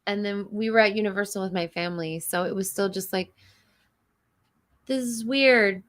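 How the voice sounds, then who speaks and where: A woman, hoarse and tired, chatting casually as a guest, often trailing off mid-sentence. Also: medium pitch, normal speed. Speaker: guest, host's new wife. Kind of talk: casual chat.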